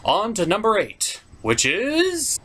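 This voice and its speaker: A man's voice: high voice, wildly swooping pitch, takes it slowly, sharp tone. A man hosting a top ten list, giving casual, opinionated commentary with wry, informal asides.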